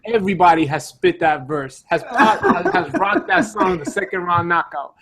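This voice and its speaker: A man with a high voice co-hosts a casual podcast. He debates with friends in an insistent way, repeating words to drive his point home. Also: big pitch swings, moderate pace, vocal fry, a crowd in the background. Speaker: podcast co-host.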